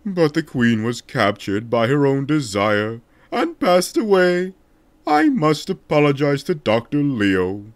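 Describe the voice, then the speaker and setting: A man's voice: on the high side, sing-song, takes it slowly, no room sound. A man voicing a solemn, remorseful king in a declamatory, dramatic way for a video game fan dub.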